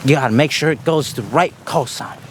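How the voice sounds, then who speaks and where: A man's voice: mid-range voice, brisk, a little hiss or hum. A man presenting an informal explainer, speaking emphatically in repeated short phrases. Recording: loud music over the voice.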